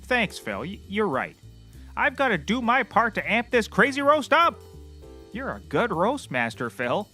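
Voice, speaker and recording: A bright, high, swooping male voice for a deluded oddball private eye, acted by a man. He is excitable, boastful and blissfully oblivious, in the manner of audio comedy, with some music behind. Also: unhurried, heavily acted. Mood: blithe. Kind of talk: scripted comedy audio acting.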